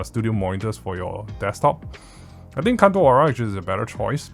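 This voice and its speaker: A man's voice: mid-range voice, creaky. A man giving a casual product review in a blunt, opinionated, casually sweary manner. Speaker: tech reviewer.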